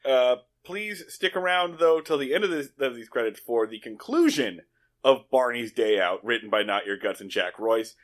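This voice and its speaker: A man's voice: mid-range voice, lively intonation, moderate pace. A man co-hosting a podcast in a casual, conversational style. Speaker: podcast co-host.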